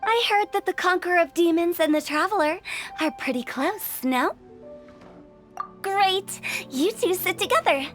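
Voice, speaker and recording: A woman playing a playful, breezy, bossy young director in a video game, with a brisk and confident informal manner. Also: very high-pitched, a bit bright, soft background music. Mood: breezy, teasing. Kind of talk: lively video game voice acting.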